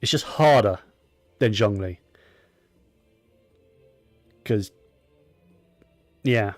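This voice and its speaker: A man's voice: fairly low pitch, extremely slow, no echo, faint music behind. A man giving casual gameplay commentary, rambling and opinionated, often talking to himself as he plays. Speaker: gaming streamer.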